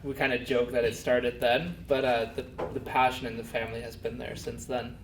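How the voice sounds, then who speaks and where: A young man from Denmark, a race car driver being interviewed, talking softly with many fillers. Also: medium pitch, flat delivery, gravelly, far from the mic, slight background noise. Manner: soft-spoken, halting with many fillers. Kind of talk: casual interview. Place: pub. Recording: echoey pub, voice from off-mic.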